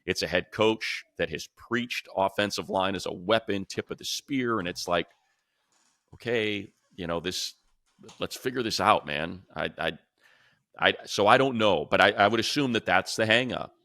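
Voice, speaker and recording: A man's voice: on the low side, moderate pace, pushed and bright, no room sound, clean studio sound. An American man co-hosting a sports podcast, talking casually and emphatically in a conversational way. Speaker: sports podcast co-host and commentator.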